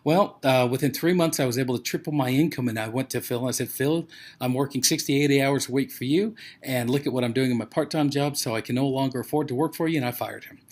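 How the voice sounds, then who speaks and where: A man's voice: mid-range voice, quick pace, a little mellow. An American man presenting in a casual motivational sales talk, with a conversational, rambling storytelling manner. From United States. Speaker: presenter of a company overview.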